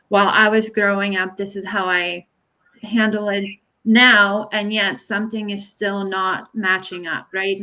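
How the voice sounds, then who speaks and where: A woman's voice: mid-range voice, sharp tone, poor audio. Czech-born woman as an interview guest on a video call. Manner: earnest, hesitant, with fillers. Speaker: guest, conflict resolution specialist. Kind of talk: interview answers. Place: home in Pennsylvania.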